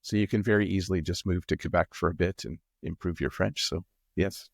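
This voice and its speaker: A middle-aged Canadian man with a Canadian accent, chatting as an interview guest in a relaxed, rambling way with many ums and restarts. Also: sits low, brisk, gravelly, no room sound, studio quality. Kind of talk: casual interview chat.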